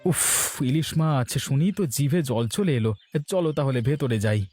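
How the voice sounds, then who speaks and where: A man's voice: medium pitch, expressive, measured pace, darkish tone, slightly rough, faint music behind. A man playing an ordinary family husband in a Bengali cartoon. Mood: curious, eager.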